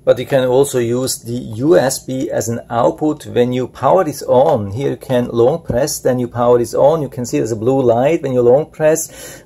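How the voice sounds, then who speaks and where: A man's voice: mid-range voice, gravelly, mellow. A man giving an unscripted product review and tutorial, explaining things plainly step by step.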